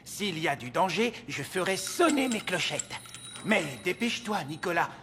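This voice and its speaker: A watchful cartoon reindeer, loyal and solemn. The voice is high for a male, with lively pitch and a long reverberant tail. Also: moderate pace. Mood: watchful, cautioning. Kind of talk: animated cartoon acting. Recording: long reverb, music behind.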